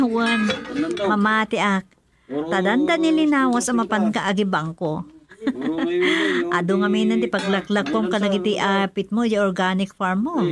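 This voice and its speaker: A woman playing an inquisitive, teasing friend in a radio drama, with a probing way of talking. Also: on the high side. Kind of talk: Ilocano radio drama acting.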